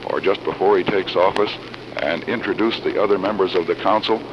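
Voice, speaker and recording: A man reporting live on 1940s radio in a formal, measured announcing manner, with a cold. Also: low-pitched, fairly even intonation. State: has a cold. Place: council chamber. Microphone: broadcast microphone. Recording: noisy, muffled 1940s radio broadcast recording.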